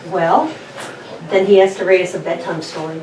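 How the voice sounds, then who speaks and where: A woman's voice: fairly low pitch, steady tone, normal speed, background noise, lightly acted. A woman playing a teasing, playful female space ranger at an informal sci-fi table read. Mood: playful protest. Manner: sarcastic, playful. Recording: distant echoey room mic, chatter behind.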